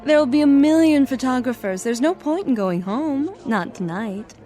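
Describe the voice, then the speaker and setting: An actress playing a vain, coy, demanding film star in a radio drama, affected and imperious. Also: female voice, high-pitched, expressive, normal speed, dark tone, faint music behind. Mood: coy, placating. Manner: affected, imperious, cooing endearments.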